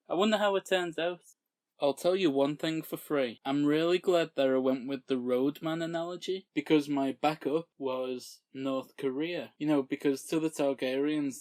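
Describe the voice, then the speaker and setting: A man's voice: mid-range voice, darkish tone, no room sound. Giggly man recapping a show. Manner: giggly, self-mocking. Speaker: YouTube TV reviewer. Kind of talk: comic recap vlog. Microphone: makeshift low-grade mic.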